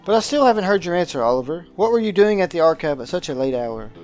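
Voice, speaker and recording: A man voicing a visual novel's aristocratic guardian, pompous, dramatic and deliberate, with menacing affection. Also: sits high, very animated, darkish tone, soft background music. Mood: menacing concern.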